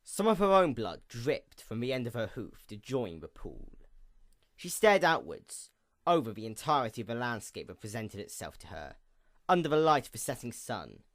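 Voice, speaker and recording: A man narrates a scripted fantasy tale in a mid-pitched voice. His intonation rises and falls dramatically from phrase to phrase. He is deliberate, leaving weighty pauses. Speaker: story narrator.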